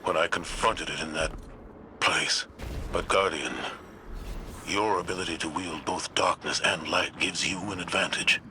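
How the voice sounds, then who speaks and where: An actor playing a grave, weary mentor in a video game, speaking earnestly and solemnly. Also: male voice, low voice, fairly even intonation, unhurried, background noise. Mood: grave, urging. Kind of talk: scripted video game dialogue.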